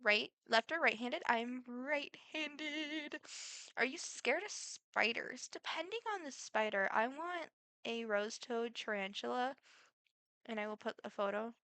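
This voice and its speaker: A teenage girl with a Midwestern accent, talking through a casual question-and-answer vlog. Her voice is bright and a bit high, and she rambles along with lots of fillers. The sound is close and dry. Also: unhurried, no room sound. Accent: Midwestern American. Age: teens. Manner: chatty, rambling, full of fillers. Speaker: vlogger. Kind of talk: casual get-to-know-me Q&A.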